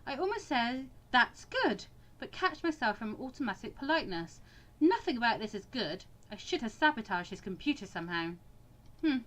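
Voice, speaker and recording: A woman voicing an anxious, wryly sarcastic bookish teenage girl in an expressive, introspective visual novel reading. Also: fairly high pitch, normal speed. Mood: uneasy.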